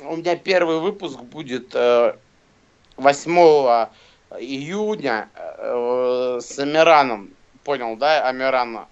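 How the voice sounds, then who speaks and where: A man's voice: medium pitch, expressive, takes it slowly, smooth. A man in his thirties chatting casually on a video call from a small room, rambling and swearing with lots of fillers. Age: 30s. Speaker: rapper and guest. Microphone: phone camera close to face. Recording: dull lo-fi video call audio.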